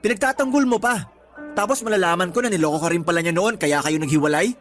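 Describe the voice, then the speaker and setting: A man's voice: high-pitched, fast, a bit bright, soft background music. A man playing a jealous, insecure young boyfriend in a radio love drama, accusing and defensive. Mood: angry, accusing.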